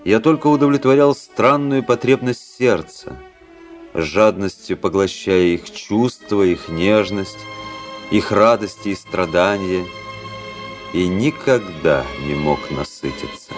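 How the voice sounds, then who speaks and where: Disillusioned young officer, low voice, measured, ironic, brooding, radio drama, music underneath. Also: male voice, expressive. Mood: brooding, bitter. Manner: measured, introspective, ironic delivery. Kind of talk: literary radio drama monologue and dialogue. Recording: radio play with music behind.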